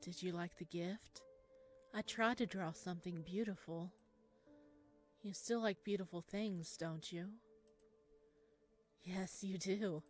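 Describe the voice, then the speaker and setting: An eerie, lonely creature-man from a horror game, played by a woman, slow and soft in speech. He pleads like a child seeking approval. Music plays clearly behind him. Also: lowish voice.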